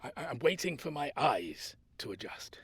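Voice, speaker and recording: A man playing an anxious but determined grandfather on the run in an audio drama, urgent and often dropping into hushed whispers. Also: medium pitch, sing-song, normal speed, husky, dry recording, exaggerated voice. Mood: hushed, tense. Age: older.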